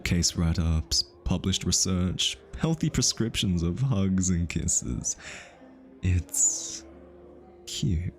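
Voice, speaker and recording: Man acting a deep-voiced, pretentious, flustered hitman. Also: expressive, faint music behind. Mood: teasing, mocking. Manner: arch, teasing, theatrically polite with halting hesitations. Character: flustered, pretentious hitman undercover as a smug society consultant. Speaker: voice actor. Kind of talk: ASMR roleplay acting.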